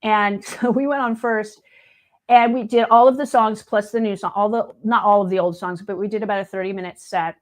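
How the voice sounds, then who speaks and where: A woman's voice: medium pitch, fairly even intonation, fairly quick. Chatty woman in her late fifties. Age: late 50s. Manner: chatty, anecdotal, acts out quoted dialogue. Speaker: guest, singer and video editor. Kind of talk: casual chat, storytelling.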